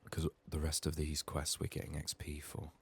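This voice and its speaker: A soft-spoken man murmuring low-key ASMR game commentary, thinking aloud in a slightly sick, strained voice. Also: monotone, fairly quick, gravelly. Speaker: ASMR gaming YouTuber. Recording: faint background noise, clicking.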